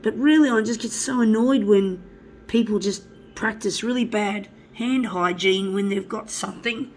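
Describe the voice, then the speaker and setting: Hoarse, blocked-nose woman, rambling. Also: mid-range voice, moderate pace, a little mellow, soft background music, poor audio. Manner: rambling, chatty, swears freely. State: hoarse from flu. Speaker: artist. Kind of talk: casual talk while working.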